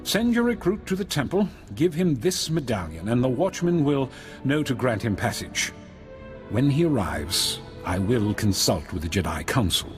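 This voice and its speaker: Man acting a formal, expressive master in reverberant hall. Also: medium pitch, lightly acted. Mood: reserved, skeptical. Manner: formal, measured. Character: stern, reserved male master of a mystic knightly order. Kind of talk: video game character acting. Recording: long hall reverb, music behind.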